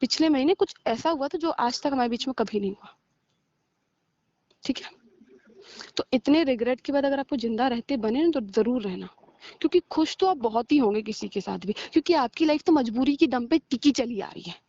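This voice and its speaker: A woman's voice: high voice, lively intonation, normal speed, mellow, no room sound. A woman arguing heatedly with a partner on a recorded phone call, scolding and sarcastic, slipping English words into her talk. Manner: mixes in English words and phrases.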